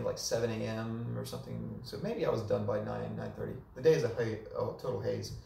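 A hoarse young man giving a casual, chatty update in a small living room, filmed by a camera a few meters away. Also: lowish voice, far from the mic, a little hiss or hum. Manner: relaxed and chatty, with many fillers. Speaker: vlogger. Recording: echoey room, voice off-mic.

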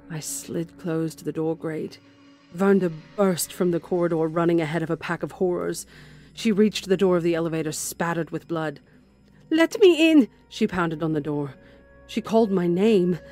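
A woman's voice: sits low, darkish tone. Woman playing a grim zealot warrior nun, music behind. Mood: urgent shouting. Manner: solemn, deliberate, intense. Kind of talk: dramatic first-person horror narration.

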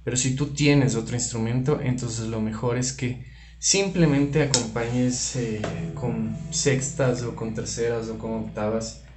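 A man giving an informal guitar effects tutorial, explaining things in a halting way with restarts. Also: medium pitch, fairly even intonation. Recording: roomy, reverberant room sound. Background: electric guitar playing.

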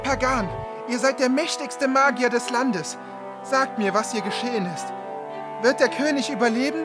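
This is a high-voiced, devoted young messenger in a fantasy audio drama acted by a woman. Every phrase is urgent, earnest and theatrical. The voice carries a long hall reverb, with clear music underneath. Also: moderate pace, natural voice. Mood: anxious.

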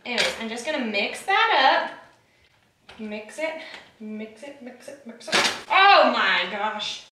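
A woman's voice: on the high side, big pitch swings, takes it slowly, reverberant, background noise. A woman in her twenties filming a casual cooking vlog in her small home kitchen, chatty, flustered and self-deprecating, picked up by a camera mic across the room. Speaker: cooking vlogger.

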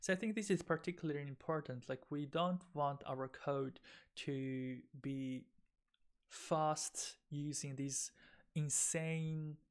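Man, 30s, software developer, casual webcam talk, conversational with many fillers. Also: a little high, slow pace, clear, resonant tone. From Italy. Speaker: software developer giving a recorded conference talk. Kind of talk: recorded tech talk presentation.

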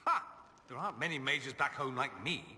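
A man's voice: mid-range voice, expressive, normal speed, pushed and bright, slight background noise. A man voicing a witty, haughty young nobleman mage in a video game, with arch, sardonic, theatrical delivery. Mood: sardonic, amused. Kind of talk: video game dialogue acting. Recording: echoey hall with long reverb.

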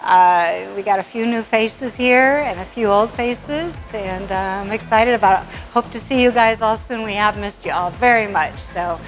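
A woman's voice: medium pitch, normal speed, hardly any pauses, soft background music, poor audio. A middle-aged woman, a bakery owner, giving a casual walking tour of her large restaurant, chatty and upbeat with many fillers.

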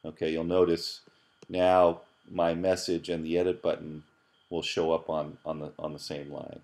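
A man's voice: low-pitched, little pitch movement, moderate pace, darkish tone. A middle-aged man, a professor, narrating a screencast tutorial in a casual, thinking-aloud way with fillers and repeated words. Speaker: professor teaching app programming.

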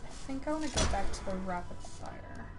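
A woman gamer with a middle-range voice talks casually as she plays, given to excited exclamations. Loud game music runs behind her throughout. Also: medium pitch, fairly quick. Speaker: gamer playing co-op on a stream. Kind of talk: casual gameplay chat. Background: loud video game music.

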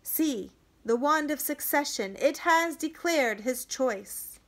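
A woman voicing a solemn male sun priest making a loud ceremonial proclamation. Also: sits high, expressive, takes it slowly, voice pushed up, exaggerated voice.

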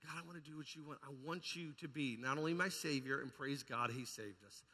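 A man's voice: medium pitch, a little fast, bright, forceful tone. A man preaching a fervent, emphatic charismatic sermon as a pastor, repeating phrases and putting questions to his congregation. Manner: puts rhetorical questions to the congregation. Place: large indoor church hall. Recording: long hall reverb, amplified church sound.

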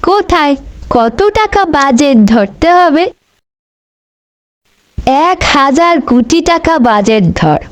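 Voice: high voice, expressive, slow pace, pauses often. A performer voicing a bossy, vain older woman in a comic cartoon, haughty and scolding. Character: bossy, vain older female leader and bride-to-be.

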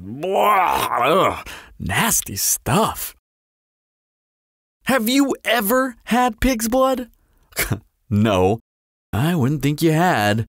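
A man's voice: on the high side, a bit bright, no room sound, studio quality, full character voice. A man playing a flirtatious, sardonic vampire in a roleplay audio drama, talking in a glib, teasing, playful drawl. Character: flirtatious, sardonic male vampire toying with a slayer.

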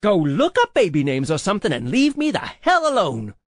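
A woman voicing a stubborn, sharp-tongued young woman with a curt, defiant manner in an audiobook. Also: medium pitch, huge pitch swings, a little slow, no room sound, studio quality. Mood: furious outburst.

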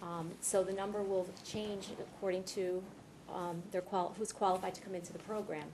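A woman heading a nursing department, answering board questions in a loud voice full of hesitant ums. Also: mid-range voice, little pitch movement, normal speed. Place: large conference room. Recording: noisy meeting room.

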